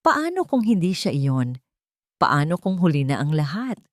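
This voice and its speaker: A woman's voice: deep voice, sing-song, normal speed, dry recording, studio quality. A woman reading a scripted, dramatic audio story as a storyteller.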